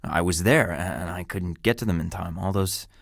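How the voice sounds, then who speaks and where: A man's voice: deep voice, normal speed, vocal fry, no echo. A man voicing a wisecracking young male spy agent, sardonic and tough, in a video game, with dry quips and flashes of menace. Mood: wry. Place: recording studio.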